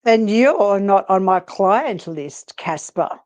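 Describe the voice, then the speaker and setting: A woman's voice: medium pitch, lively intonation, a little mellow. A woman playing a sassy, businesslike psychic investigator in a dramatic paranormal romance reading, with a wry, flirty manner. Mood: sassy, defiant. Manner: sassy, wry, deliberate delivery.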